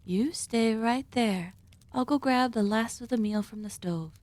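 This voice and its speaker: A woman playing a polite, sinister female cannibal surgeon hosting dinner in an ASMR roleplay, speaking in formal, deliberate phrases. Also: on the high side, a little mellow, dry recording, close to an everyday voice. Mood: courteous, inviting. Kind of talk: ASMR roleplay, scripted monologue addressed to listener.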